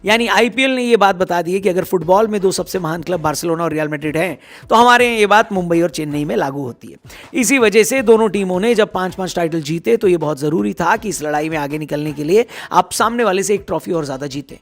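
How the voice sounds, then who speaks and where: A hoarse man giving casual cricket news commentary, chatty and teasing as he talks straight to his viewers. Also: high voice, expressive, fast.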